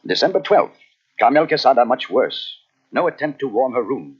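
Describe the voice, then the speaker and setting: An actor playing a measured, knowing doctor in an old-time radio mystery, speaking formally and deliberately with a husky voice. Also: male voice, medium pitch, very animated. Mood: grave, insinuating. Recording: muffled old radio cassette transfer.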